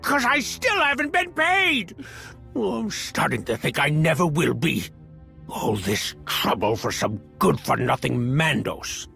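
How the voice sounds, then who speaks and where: A man's voice: on the high side, sing-song, a little slow, gravelly, sharp tone, soft background music, heavily acted. A man playing a cowardly, whining, self-serving slicer in a video game, stammering and pleading nervously. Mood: bitter grumbling. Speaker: captured comms technician informant. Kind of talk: video game cutscene acting.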